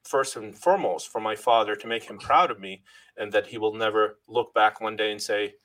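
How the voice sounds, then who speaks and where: A middle-aged man from Lebanon with a somewhat low voice, speaking as an interview guest. He talks earnestly and from the heart, circling back and restarting phrases as he goes, and thanks God along the way. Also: brisk, a bit bright, poor audio. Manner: religious, thanks God often. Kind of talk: personal story in an interview.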